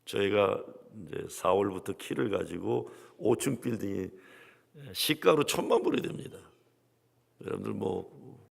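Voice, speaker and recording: Guest pastor, male, gravelly voice, swinging delivery, long church-hall reverb. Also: medium pitch. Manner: lively storytelling, quoting congregants, dramatic drops to near whispers. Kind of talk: revival sermon with storytelling. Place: large church sanctuary.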